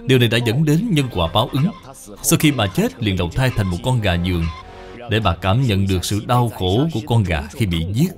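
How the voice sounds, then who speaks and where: A man's voice: on the low side, expressive, a little fast, lightly acted. A man voicing a stern senior Buddhist brother in a cartoon, grave, instructive and reproving. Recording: music behind the voice.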